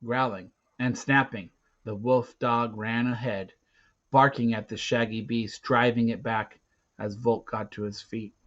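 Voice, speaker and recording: Slow, theatrical man reading a story. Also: medium pitch, steady tone, muffled recording. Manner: theatrical, with dramatic pauses and big swings from hushed to loud. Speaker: read-aloud narrator. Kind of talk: dramatic storybook narration.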